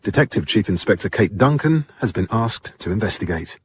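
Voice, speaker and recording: An actor as a sober announcer introducing a mystery drama in a scripted broadcast style, with faint noise behind. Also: male voice, fairly low pitch, lively intonation, a little fast, lightly acted.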